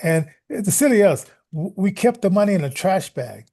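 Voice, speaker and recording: A middle-aged American man telling anecdotes in casual chat, halting and restarting as he goes, getting animated when he quotes people. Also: high-pitched.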